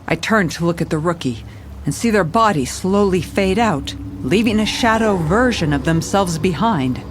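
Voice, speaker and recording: A woman's voice: lowish voice, animated, normal speed, slight background noise, lightly acted. A woman playing a grave police commissioner, narrating her case in a dramatic noir style. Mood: grave, uneasy.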